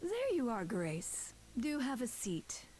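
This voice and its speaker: A woman playing a stern, weary goddess who leads the gods in a video game, speaking in a measured, formal way. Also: fairly high pitch, wildly swooping pitch, music in the background, heavily acted. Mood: cool, pointed.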